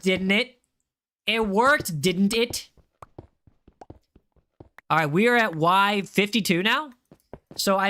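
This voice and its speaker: A young male streamer with a high, bright voice talks to his live chat while he plays. He is casual and chatty and often says the same phrase two or three times in a row.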